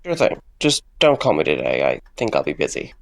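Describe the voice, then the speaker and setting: A man's voice: rumbling low, wildly swooping pitch, brisk, creaky, dry recording, full character voice. A man acting in an audio drama as a weary, dryly joking young man who takes his responsibilities stubbornly. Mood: friendly, awkward. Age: young adult.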